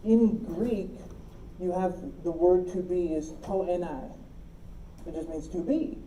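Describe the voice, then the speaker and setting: A woman's voice: on the low side, slow pace, dark tone, reverberant, slight background noise, rough recording. A woman teaching a logic class, explaining step by step and often checking that her students follow. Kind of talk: classroom lecture.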